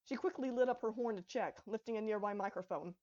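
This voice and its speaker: A woman's voice: mid-range voice, quick pace, a little mellow. Woman narrating a story, slightly muffled sound. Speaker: fan fiction writer reading own story.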